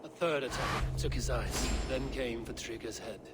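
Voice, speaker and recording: An actor playing a grave, observant warrior in a video game, reasoning out a murder in a measured, terse way. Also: male voice, mid-range voice, very noisy, close to an everyday voice. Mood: grave, analytical. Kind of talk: dramatic video game acting.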